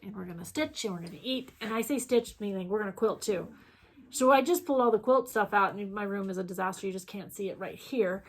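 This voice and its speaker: A woman's voice: mid-range voice, quick pace. A woman, co-hosting a casual stitching chat, rambling and chatty with plenty of fillers. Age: 40s. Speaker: co-host.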